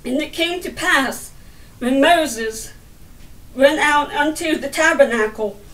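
A middle-aged woman reading the Bible aloud as a sermon, in deliberate broken phrases with stumbles over the words. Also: sits high, a bit bright. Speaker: lay preacher reading scripture. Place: indoor room by a window. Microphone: camera a little way off. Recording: roomy, distant camera microphone.

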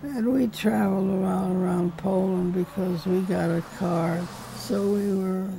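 An older woman with a gravelly, mellow voice reminisces in a flat, plainspoken way. She is interviewed in a living room, with soft music playing underneath. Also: medium pitch, measured pace, slight background noise, rough recording. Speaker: interviewee, veteran. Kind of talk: documentary interview recollection.

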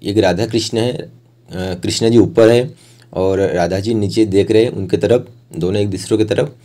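Low, mellow man explaining steps. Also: normal speed. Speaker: art tutorial host. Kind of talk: step-by-step instructional voice-over.

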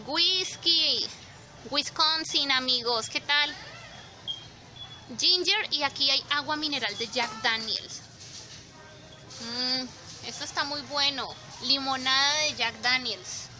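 A young woman, chatting to viewers as she films her walk through a supermarket. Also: sits high. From Colombia. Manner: chatty, expressive, addresses viewers directly. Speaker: vlogger. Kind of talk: casual walk-through vlog. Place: supermarket aisles, indoors.

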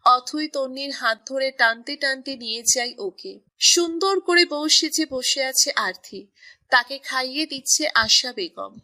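Bright, high-voiced woman storyteller. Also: a little slow. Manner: even, storytelling. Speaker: story narrator. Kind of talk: scripted romantic story narration.

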